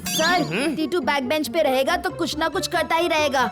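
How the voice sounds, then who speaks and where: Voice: high-pitched, moderate pace, lightly acted. An actor voicing a smug, taunting schoolboy rival in an exaggerated kids' cartoon style. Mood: tattling. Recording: music behind the voice.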